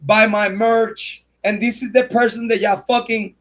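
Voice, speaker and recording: A man's voice: sits high, fairly even intonation, a little slow, a bit bright. A man hosting a true crime livestream, ranting in a heated, profane, repetitive way and stopping to thank viewers by name. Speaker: true crime channel host. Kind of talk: livestream commentary rant.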